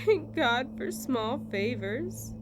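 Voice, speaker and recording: A man voicing sad, consoling women in a horror story, in a soft falsetto over noise. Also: expressive, slow pace, full character voice. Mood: mournful, gentle.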